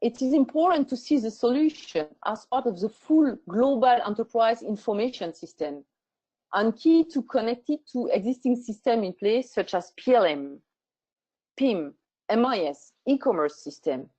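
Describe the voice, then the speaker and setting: A woman walks through a prepared webinar talk at a measured pace, with somewhat non-native phrasing. Her voice sits a little high. The webinar sound is dull and lo-fi. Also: animated, dark tone. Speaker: webinar host. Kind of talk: scripted presentation. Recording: muffled lo-fi webinar audio.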